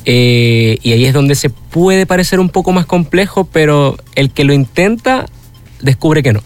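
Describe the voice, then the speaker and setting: A man's voice: a little high, animated, normal speed, soft background music. A young Venezuelan man with a Venezuelan Spanish accent, a freestyle rapper, answering interview questions in an earnest, explanatory way. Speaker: freestyle rapper and workshop teacher.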